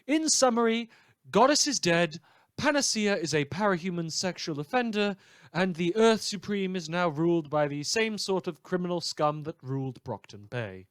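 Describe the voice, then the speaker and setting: Male performer as theatrical, sarcastic underground radio news host. High-pitched, dry recording, dramatic emphasis. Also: animated, moderate pace, smooth, dry recording. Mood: sardonic. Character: snide, theatrical, conspiracy-minded pirate radio news host. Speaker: fictional radio show host. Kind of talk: mock radio news broadcast.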